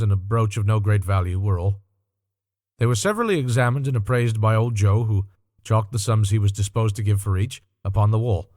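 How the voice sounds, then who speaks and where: A man's voice: lowish voice, a little mellow, no room sound, studio quality. A man narrating a classic story as an audiobook reader, in a scripted literary storytelling style.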